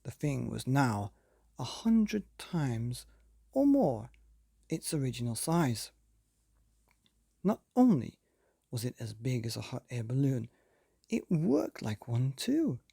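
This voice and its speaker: A man hosting and reading a children's bedtime story, with theatrical, dramatic emphasis and drawn-out words. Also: medium pitch, sing-song, vocal fry, mellow, husky, no room sound, studio quality. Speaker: podcast host and story reader.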